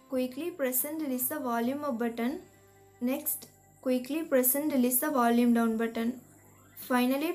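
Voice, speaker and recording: Woman, high-pitched voice, scripted tutorial narration, measured instructional delivery, music underneath. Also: darkish tone. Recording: voice-over with music behind. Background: background music.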